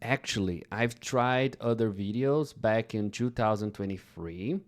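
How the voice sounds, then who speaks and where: A young man from Brazil, a Portuguese native speaker with a Brazilian accent, teaching English slowly and carefully to learners. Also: on the low side. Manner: slow, careful, deliberate phrasing with pauses. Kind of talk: slow English lesson talk.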